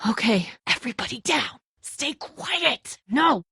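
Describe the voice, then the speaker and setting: A woman's voice: high-pitched, sing-song, unhurried, creaky, sharp tone, breathy edge, no room sound, heavily acted. An actress playing a tough, stressed, protective woman who leads zombie-apocalypse survivors in a dramatic video game, speaking bluntly and with urgency. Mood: panicked, urgent.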